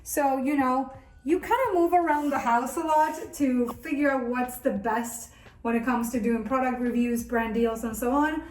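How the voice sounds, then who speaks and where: A woman's voice: high voice, moderate pace, slight background noise. A 38-year-old woman from Argentina, a native Spanish speaker, talking casually and candidly to viewers as an upbeat vlog host. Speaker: content creator and video host. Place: bathroom at home, indoors. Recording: echoey indoor room.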